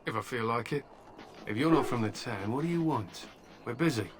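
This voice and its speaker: A man playing a gruff, impatient warrior leader. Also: mid-range voice, animated, fairly quick, noisy background, natural voice. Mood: curt. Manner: blunt and impatient.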